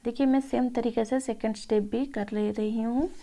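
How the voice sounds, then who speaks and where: Female home cook for informal recipe voice-over; gravelly fry, fairly even pitch, mellow tone. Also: normal speed. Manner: addresses viewers as friends. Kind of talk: informal cooking tutorial voice-over.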